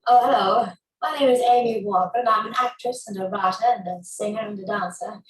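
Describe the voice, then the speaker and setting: A woman in her twenties, an actress, playfully running through a rapid string of English accents in a played clip. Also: mid-range voice. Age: 20s. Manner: switches between many English accents, playful. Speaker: actress doing an accent showcase. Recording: roomy sound from a distance.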